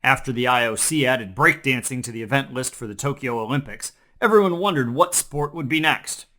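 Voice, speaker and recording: A man's voice: mid-range voice, brisk, sharp tone. A man hosting a comedy newscast, reading scripted parody headlines in a mock-serious newscaster manner. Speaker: comedy news host. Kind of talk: scripted parody news narration.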